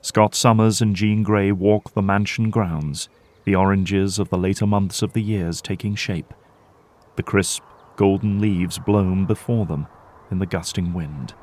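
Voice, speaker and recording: A man narrating an audio drama from a script in a measured, dramatic storytelling manner. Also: low voice, steady tone, a little mellow.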